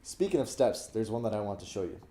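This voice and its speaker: A man's voice: fairly low pitch, very animated, fast talker, dark tone. A young man acting in a student skit as an eager friend coaxing his buddy to work out.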